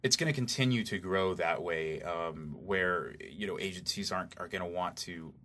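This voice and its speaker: A man with a notably low voice talks as an expert guest in a casual interview. He explains practical points informally, like a working recording engineer. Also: moderate pace, bright, forceful tone.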